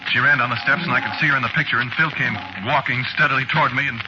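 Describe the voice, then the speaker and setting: An actor playing a haunted, guilty killer who confides his fearful tale in halting, repetitive first-person narration, in an old-time radio suspense drama. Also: medium pitch, fairly even intonation, lightly acted. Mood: haunted, mounting dread. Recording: muffled, very noisy old radio recording.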